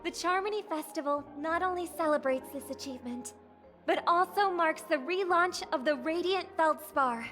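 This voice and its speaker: An actress playing a poised young singer idol who gives a measured, formal, gracious ceremonial speech in a game. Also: female voice, very high voice, fairly bright tone, soft background music.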